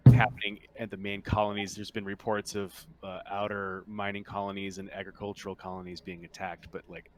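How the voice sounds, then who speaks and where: A man briefing players as game master of a tabletop roleplaying game, explaining informally and haltingly with many pauses. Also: fairly low pitch, a bit bright, a little hiss or hum.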